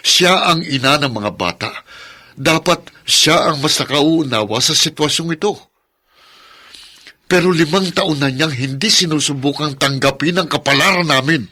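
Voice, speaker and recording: A devoted Filipino father at the end of his patience in a radio drama. His voice is forceful and raspy, earnest and emotional. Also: a little high, normal speed. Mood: weary, pleading. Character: frustrated young father, devoted, losing patience with his wife.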